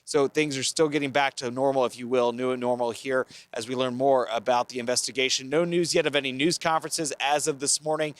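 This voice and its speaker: A middle-aged American man reporting live as a TV news correspondent, speaking in a measured, careful, explanatory way. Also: mid-range voice, fairly even intonation, no room sound. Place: outdoors by a river at night.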